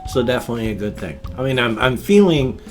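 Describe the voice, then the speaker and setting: An older man talks in a folksy, meandering way, his voice nasal and congested with a faint wheeze. He chats casually at a home desk mic, and quiet guitar music plays softly behind him. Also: mid-range voice, animated, fast talker. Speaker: vlog host. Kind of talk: rambling casual morning vlog. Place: small home room at a desk.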